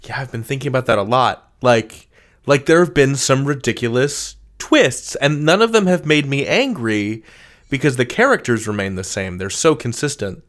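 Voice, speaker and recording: A man's voice: medium pitch, lively intonation, normal speed, a bit bright. A man hosting a podcast interview in a casual, thoughtful way, building his questions at length.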